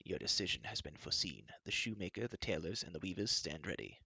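A man plays a solemn chief steward who answers stiffly and formally. The voice is low, hushed and gravelly, recorded dry and close. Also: big pitch swings, fast, no room sound, full character voice.

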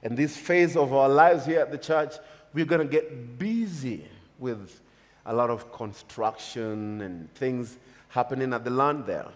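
A man's voice: mid-range voice, lively intonation, unhurried. A man in his thirties with a Kenyan accent, preaching a sermon from a church pulpit in an emphatic, repetitive cadence. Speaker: pastor. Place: church stage with large hall. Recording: long reverb of a church hall.

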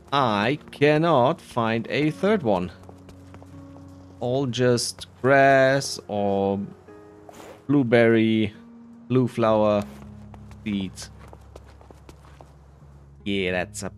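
Guy doing chill lets play commentary, talks slow but pitch goes all over, music under it. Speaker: host of an edited game playthrough. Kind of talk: casual gameplay commentary.

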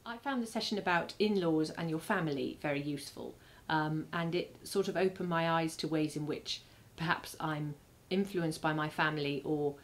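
A woman in her thirties giving a warm, casual testimonial on a living room sofa. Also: low voice, normal speed, few pauses. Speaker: married couple sharing a testimonial.

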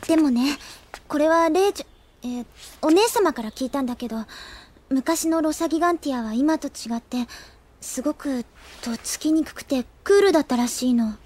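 High-voiced, gossipy schoolgirl, acted by a woman. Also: normal speed, a little mellow, lightly acted. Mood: confiding. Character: cheerful, gossipy, studious teenage schoolgirl friend. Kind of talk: Japanese anime audio drama acting.

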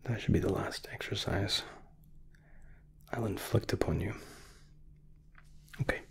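A man performs an ASMR roleplay in a low, half-whispered voice with a gravelly crackle. He speaks close to the mic in a polite, formal way, pausing hesitantly as he goes. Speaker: ASMR roleplay performer, playing a royal sculptor. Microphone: close microphone.

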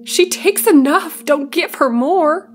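A woman voicing a frightened, jittery woman in a blue coat who speaks in hushed, terse lines. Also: medium pitch, steady tone, normal speed, music in the background, natural voice. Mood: screaming. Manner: hushed and terse, bursts into screaming.

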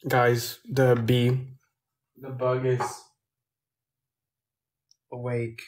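A man's voice: medium pitch, monotone, drawn-out words, darkish tone, low-quality recording. A teenage boy, chatting casually on a phone livestream in a small room at night, answering viewers' questions. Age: teens. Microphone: phone at arm's length.

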